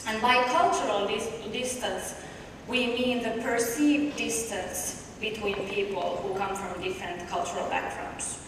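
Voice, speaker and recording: A woman from Finland with a Finnish accent, presenting an academic paper at a conference in a measured, explanatory way with rhetorical questions. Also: mid-range voice. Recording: echoey distant hall recording with noise.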